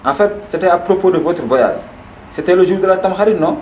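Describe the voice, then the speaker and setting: A man's voice: high-pitched, brisk, darkish tone, close to an everyday voice. A man voicing an opinionated know-it-all in a cartoon, matter-of-fact and self-assured, with a Senegalese accent. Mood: probing. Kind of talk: animated cartoon dialogue. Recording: roomy echo, faint background noise.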